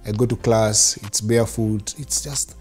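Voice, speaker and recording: A Kenyan man in his thirties, telling his childhood story in an interview, mixing in Swahili, animated. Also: on the low side, moderate pace, faint music behind. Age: 30s. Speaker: interview guest. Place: living room studio set, seated in armchair.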